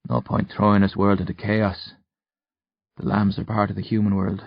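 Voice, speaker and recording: A man's voice: fairly low pitch, flat delivery, normal speed, vocal fry, dry recording, highs cut off. Man playing a weary, troubled man. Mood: thoughtful, guarded. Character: weary, troubled man shaken after a nightmare.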